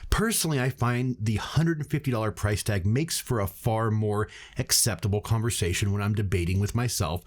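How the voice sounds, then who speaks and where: A man's voice: on the low side, a little fast, hardly any pauses. A man hosting a product review, reading scripted narration to his viewers. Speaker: reviewer and channel host. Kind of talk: scripted video narration, audio gear review.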